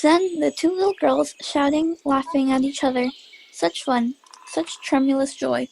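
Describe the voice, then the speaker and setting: Female drama narrator. High voice with creak, faint noise and birdsong behind. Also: fairly even intonation, unhurried, a little mellow, low-quality recording. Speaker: story narrator.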